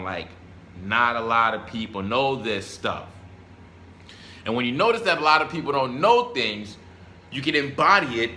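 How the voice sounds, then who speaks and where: A man's voice: medium pitch, pushed and bright. A man with a mid-range voice talks off the cuff like a self-help vlogger. He is blunt and casual, swears freely, and repeats phrases to drive them home. There is some ambient noise behind him. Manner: emphatic, repeats phrases for stress. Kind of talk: rambling motivational talk to camera.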